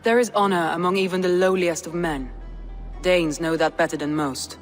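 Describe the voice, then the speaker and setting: An actor playing a calm Viking clan leader who speaks in a measured, thoughtful way, in game audio. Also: male voice, high voice, big-room sound, faint music behind, natural voice. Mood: composed.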